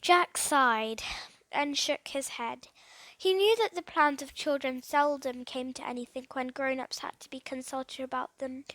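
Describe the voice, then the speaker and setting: A woman reading a children's adventure story aloud in an expressive way, as an audiobook reader. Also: high voice, measured pace, no echo.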